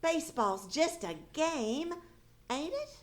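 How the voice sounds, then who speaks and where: A woman's voice: sits high, huge pitch swings, slow, heavily acted. A woman playing a fussy, nagging small-town housewife and mother, folksy and exasperated, in an old-time radio comedy. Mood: gossipy, curious. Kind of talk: 1930s radio comedy acting on stage.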